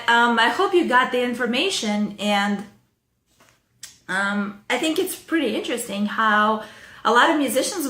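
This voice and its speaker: A woman's voice: on the high side, sharp tone. Ukrainian-accented woman chatting to camera. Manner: chatty, loose, with frequent fillers and small laughs. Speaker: songwriting video host. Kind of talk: casual talk to camera with reading aloud. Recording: echoey room.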